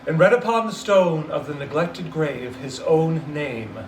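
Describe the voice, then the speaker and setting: A man's voice: a little high, expressive, unhurried. A man reading a story aloud in a dramatic, emphatic, theatrical storybook narration style. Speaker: story reader. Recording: roomy echo, distant mic, faint noise.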